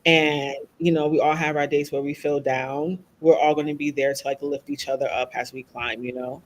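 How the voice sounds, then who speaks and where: A woman speaks as a guest in a relaxed interview. She is open and reflective, and she works through her thoughts as she goes. She keeps tagging her points with a soft "right?". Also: low voice, normal speed. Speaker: podcast guest. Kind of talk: candid conversational interview.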